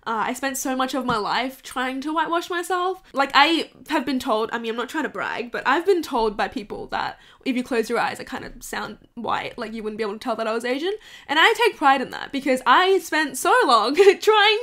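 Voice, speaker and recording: High-voiced young woman, candid and swearing. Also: lively intonation, brisk, sharp tone. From Hong Kong. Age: 20s. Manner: candid, swears freely, laughs through emotional bits. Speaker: vlogger. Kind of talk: casual confessional chat to camera. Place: bedroom.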